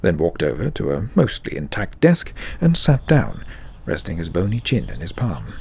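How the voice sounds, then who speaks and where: A man's voice: deep voice, huge pitch swings, normal speed, vocal fry, a little mellow, husky. A man narrating a scripted fantasy audio drama as the storyteller.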